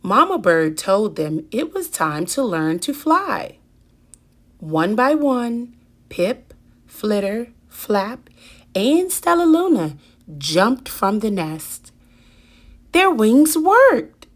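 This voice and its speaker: Slow, expressive woman reading a storybook. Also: on the high side. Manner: deliberate, dramatic emphasis for young children. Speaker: children's story reader. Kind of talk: expressive picture-book read-aloud.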